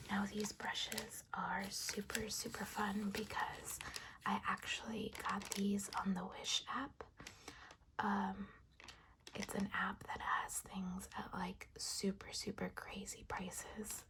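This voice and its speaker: A young woman hosting an ASMR video, speaking softly in a whispery, sometimes raspy voice and chatting in a rambling way with fillers. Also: mid-range voice, background noise. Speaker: ASMR channel host. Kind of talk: soft-spoken ASMR.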